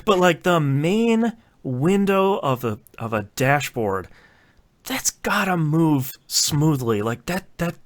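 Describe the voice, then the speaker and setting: A man chatting casually as a podcast host, informal and halting, often repeating words as he goes. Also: fairly high pitch, very animated. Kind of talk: casual chat.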